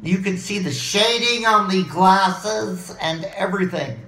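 A middle-aged man who is hoarse and losing his voice, rambling excitedly from topic to topic in a home video, talking into a desk mic on a boom arm in his living room. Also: unhurried. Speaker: vlogger. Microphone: desk mic on a boom arm, webcam framing. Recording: roomy home echo.

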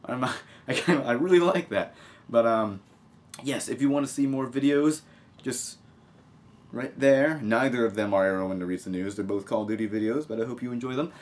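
A man's voice: mid-range voice, normal speed. A young American man from Texas giving casual, sarcastic commentary as he reads out news as a video host. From Texas, United States. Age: young adult. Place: small room at a home desk, indoors.

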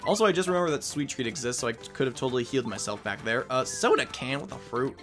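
A man's voice: medium pitch, fast talker. A man casually commenting on a video game as he plays, rambling with jokey asides. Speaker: let's play host. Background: game music.

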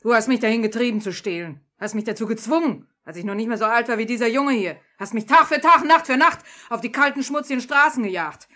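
Bitter, forceful young street thief. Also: female voice, fairly high pitch, lively intonation, quick pace, few pauses. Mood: bitter, accusing. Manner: biting, dramatic. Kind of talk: 1950s German radio play acting.

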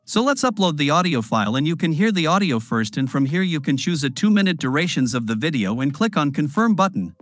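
A man giving step-by-step instructions in a screen-recorded software tutorial voice-over. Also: on the high side, brisk, hardly any pauses, faint music behind. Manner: instructive, lively intonation.

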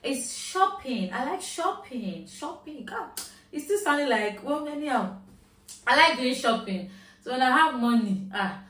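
A woman's voice: sits high, big pitch swings, a little slow, a bit bright. Nigerian woman, Yoruba accent, casual haul-vlog chat, recorded in an echoey room from a distance. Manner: chatty, mixing in Nigerian Pidgin phrases. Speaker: shopping haul vlogger. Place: at home, indoors. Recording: roomy, mic at a distance.

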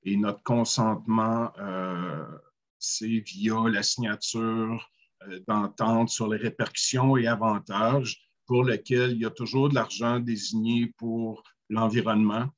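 A man in his forties with a Quebec French accent, speaking slowly on a video call panel with many pauses. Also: lowish voice, little pitch movement, muffled recording. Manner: measured, many hesitant "euh" pauses. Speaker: First Nation panelist. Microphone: webcam on a video call.

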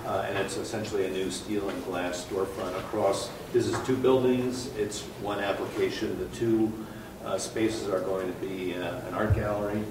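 Low, flat-voiced architect in an echoey room. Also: male voice, far from the mic. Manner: halting, many fillers and restarts. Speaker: architect presenting a project. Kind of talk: public hearing presentation. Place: large conference room. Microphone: far room mic. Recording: echoey room from afar, background noise.

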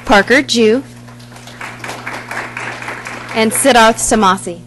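A middle-aged woman, a high school staff member, reading a formal award announcement in a measured way into a podium microphone in a large boardroom. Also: a bit bright, some chatter behind, a little hiss or hum.

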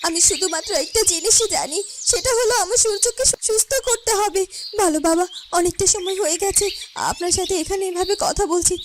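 A woman's voice: very high voice, background noise. A woman voicing a devoted, determined young heroine in a fairytale cartoon, earnest and pleading. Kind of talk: dramatic fairytale cartoon dubbing.

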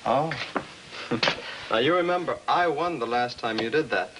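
An actor as a defiant American teenage son in a 1950s film drama. His young male voice is mid-pitched, curt and sardonic. The old soundtrack is noisy, with clicks. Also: a little slow, natural voice. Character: sullen, defiant teenage son who talks back.

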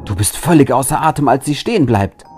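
Casting: male narrator and host for interactive spooky fiction, dramatic and animated in pitch, over music. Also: a little fast. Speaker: host and story reader. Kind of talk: dramatic storytelling for an interactive story.

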